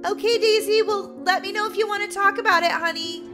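Woman playing earnest, high-voiced mom. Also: steady tone, fairly quick, fairly bright tone, faint music behind. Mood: gentle. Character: earnest, gently dramatic suburban mother. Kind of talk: children's roleplay story acting.

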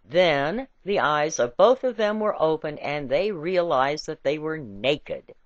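Woman reader, low-leaning voice, deliberate wry emphasis, dry slightly muffled recording. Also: expressive, no room sound. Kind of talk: expressive scripted reading of an essay with scripture quotations.

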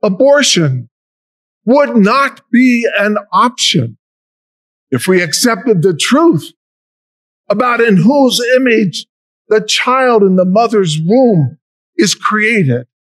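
An older American deacon delivers a homily at a lectern in a large church, his voice sitting high for a man. He speaks slowly and deliberately, leaning into key words. The sound is close and dry. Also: sing-song, fairly bright tone, no echo. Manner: slow, measured preaching with emphatic rises. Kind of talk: sermon.

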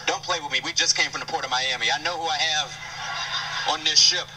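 A man in his thirties from Chicago doing a playful, animated stand-up set and teasing the crowd. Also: a little high, a little fast, music in the background. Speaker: stand-up comedian. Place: stage in a large indoor venue. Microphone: handheld microphone. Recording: distant stage mic, faint room noise.

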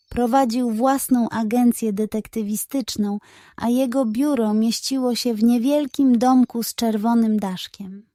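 Woman reading a kids bedtime story, slow and expressive, kinda high voice, dry studio-like sound. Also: dry recording. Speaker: children's story narrator.

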